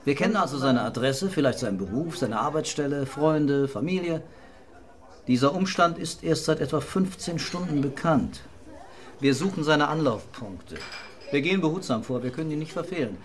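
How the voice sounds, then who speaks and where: A man's voice: on the high side, expressive, normal speed, lightly acted. A man acting in a radio drama as a methodical police investigator, questioning and summing up step by step. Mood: methodical, pressing.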